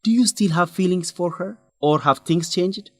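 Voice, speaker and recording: An actor playing an earnest, principled young man who urges his friend in a radio drama, with an animated, scolding manner. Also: sits high, normal speed, no echo. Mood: teasing, friendly. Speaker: friend and fellow researcher.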